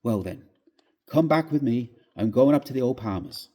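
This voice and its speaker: Young Irish doctor, male, brusque, jovial, scornful of nonsense, emphatic, mellow tone, ghost-story character as a man reads him. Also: mid-range voice, animated, moderate pace, natural voice. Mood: teasing, brisk. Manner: hearty, scoffing, emphatic.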